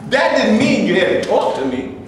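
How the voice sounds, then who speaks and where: A man's voice: sits high, sing-song, normal speed. A man acting a frustrated but cautious union-minded film actor in a staged play reading, talking in a colloquial, explanatory way. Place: echoey room before a live audience. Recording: echoey room with noise, live audience recording.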